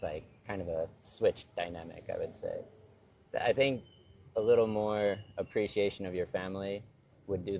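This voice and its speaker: A man with a very deep voice talks in a relaxed, rambling way, peppering his sentences with "like." A faint hum of ambience sits under his voice. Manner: relaxed, rambling, heavy use of "like". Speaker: interview guest, expat living in Vietnam. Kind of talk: casual conversation.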